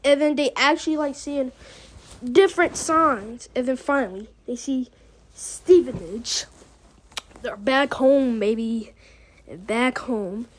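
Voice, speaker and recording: A woman's voice: high voice, takes it slowly. A woman giving a casual, rambling recap as host of a reaction video, in informal, slangy language.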